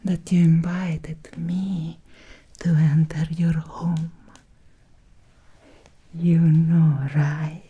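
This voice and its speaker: A woman playing an obsessed, seductive Victorian vampire in an ASMR roleplay, whispering softly and slowly in an intimate, breathy voice. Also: low-pitched, flat delivery, dull audio, heavily acted. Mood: tender, intimate. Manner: slow, intimate, deliberate with long pauses. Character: obsessed, seductive Victorian female vampire, hungry yet restrained.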